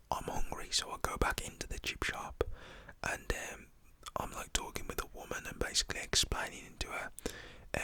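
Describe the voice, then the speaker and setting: A young adult telling a story in a whispered, casual, slang-filled ASMR style. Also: pushed and bright.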